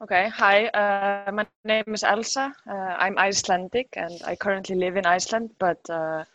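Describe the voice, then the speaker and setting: A woman's voice: medium pitch, fairly even intonation, normal speed, fairly bright tone, dull audio. A woman from Denmark chatting casually as a guest on a podcast. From grew up in Denmark. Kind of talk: casual chat.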